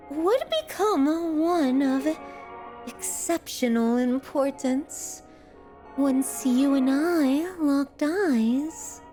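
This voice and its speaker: Creepy lovestruck yandere lady for asmr roleplay, super high voice, really slow n drawn out, fancy formal wording. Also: a little mellow. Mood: wistful, pompous. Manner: florid, theatrical, formal diction, lingering over words. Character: obsessive yandere woman, genteel kidnapper artist, menacingly adoring. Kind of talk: scripted ASMR roleplay monologue.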